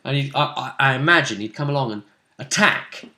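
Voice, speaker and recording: A man casually talking through a tabletop role-playing game as game master, often pausing and thinking aloud in broken phrases. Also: mid-range voice, pushed and bright. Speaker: game master, host of a role-play vidcast. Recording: roomy, echoing room sound.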